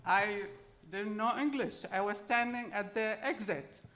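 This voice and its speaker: A woman's voice: mid-range voice, measured pace, a bit bright. A middle-aged woman with an Arabic accent telling personal stories to an audience as a panel speaker. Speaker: panel speaker telling her immigrant story.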